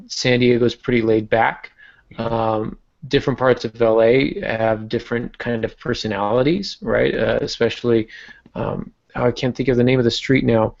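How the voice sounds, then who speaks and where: A man's voice: medium pitch, creaky, a little mellow, lo-fi sound. A man talking as a podcast host and writing teacher, explaining informally, stressing key words and posing rhetorical questions. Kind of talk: conversational teaching about writing.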